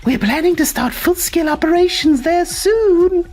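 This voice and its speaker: A woman voicing a cocky, careless young boss's son in a manga dub, breezy and excitable. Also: very high voice, moderate pace. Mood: overconfident, flustered. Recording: music under the voice.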